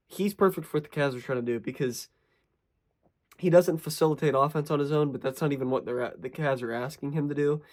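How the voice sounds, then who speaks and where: A young man, sick with a cough and slightly raspy, giving casual sports analysis as a channel host in a rambling, self-correcting way. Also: medium pitch, a little mellow.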